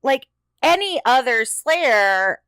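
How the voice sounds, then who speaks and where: Higher-pitched woman in dry, casual chat. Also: big pitch swings, slow pace, a bit bright, smooth, no echo. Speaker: podcast co-host. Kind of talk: casual chat about a TV show.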